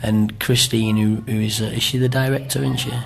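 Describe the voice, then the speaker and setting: A middle-aged man from an amateur cast talks in a Greater Manchester accent. His voice sits low and stays fairly level, with plenty of gravel in it. He chats in a rambling way, checking facts with little tag questions. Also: little pitch movement, a little fast. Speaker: amateur pantomime performer being interviewed. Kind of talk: chatty interview recollection.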